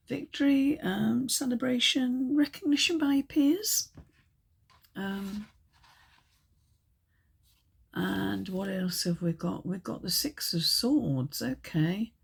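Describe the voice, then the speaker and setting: A woman reading tarot cards in a casual, chatty way, hesitant and full of ums, her voice still croaky from a chest infection. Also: mid-range voice. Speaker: tarot reader.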